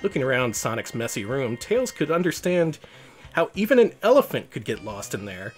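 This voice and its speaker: A man's voice: mid-range voice, sing-song, moderate pace, faint music behind. A man reading a children's picture book aloud as host, in storybook narration.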